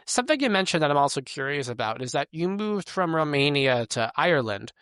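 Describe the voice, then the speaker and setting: A man's voice: a little high, big pitch swings, brisk, fairly bright tone, clean tone, dry recording, studio quality. A man hosting a podcast, upbeat and curious as he asks his guest questions. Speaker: podcast host. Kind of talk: podcast hosting, scripted intro then casual chat.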